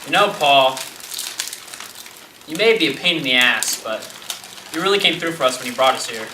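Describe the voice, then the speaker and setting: A man's voice: mid-range voice, expressive, moderate pace, close to an everyday voice. A man playing an indignant, pedantic young survivor in an amateur zombie stage play. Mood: casual. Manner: pedantic, protesting. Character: indignant, nitpicking young survivor accused of being infected. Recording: distant echoey stage recording with hiss.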